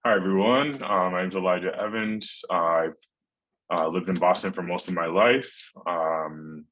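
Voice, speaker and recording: A man with a low voice introduces himself at a public meeting. His delivery is casual, that of a nonprofit director addressing colleagues. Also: a bit bright, rough recording. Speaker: nonprofit executive director, commissioner.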